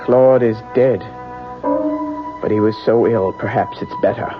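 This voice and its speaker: An actor playing a solemn, ominous fortune teller in a radio drama, with a gravelly, deliberate and theatrical delivery. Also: male voice, mid-range voice, close to an everyday voice. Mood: somber reproach. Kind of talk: 1970s radio drama acting. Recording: muffled radio broadcast with music.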